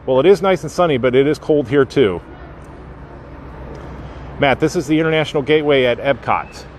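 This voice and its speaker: A man's voice: mid-range voice, moderate pace, a little hiss or hum. A man doing a casual live-stream walking tour of a theme park, greeting viewers by name with lots of fillers, a bit sniffly from a sinus infection. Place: outdoors at a theme park walkway.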